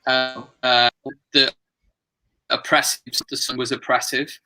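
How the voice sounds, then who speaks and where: A man speaks in a casual group discussion as a participant. His voice is strikingly bright and forward, with little melodic movement. He goes slowly and tentatively, working out his thoughts aloud. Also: medium pitch, level tone, clean tone, soft background music, rough recording. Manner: hesitant, thinking aloud. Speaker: workshop participant.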